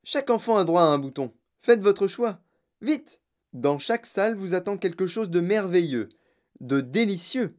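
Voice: medium pitch, expressive, darkish tone, voice pushed up, natural voice. Someone playing an exuberant, swooping chocolate-factory showman. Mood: brisk, commanding. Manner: emphatic, brisk, proclaiming.

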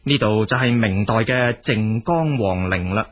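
A man with a Cantonese accent narrating a scripted folk tale as a storyteller, in a husky voice. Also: fairly low pitch, unhurried.

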